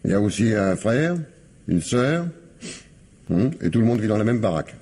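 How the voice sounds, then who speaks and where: An actor playing a gruff, impatient police investigator in a French radio mystery, brusque and grumbling, with hesitations. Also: male voice, on the low side, sing-song, moderate pace, darkish tone. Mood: wry, musing.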